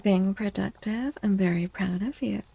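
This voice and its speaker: A woman with a soft, dark-toned voice and a gravelly fry talks in a gentle ASMR style. She takes her time, chatting casually and encouragingly with viewers as a streamer. The sound is close and very dry. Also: mid-range voice, normal speed, dry recording. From United States, born to Mexican parents. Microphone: close, dry microphone.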